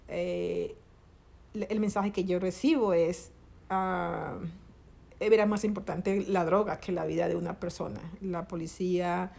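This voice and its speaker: A middle-aged woman from Colombia, interviewed about losing her son, who talks haltingly and often restarts as she searches for words. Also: on the low side, vocal fry, darkish tone. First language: Spanish. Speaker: interviewee, grieving mother. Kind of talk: conversational interview.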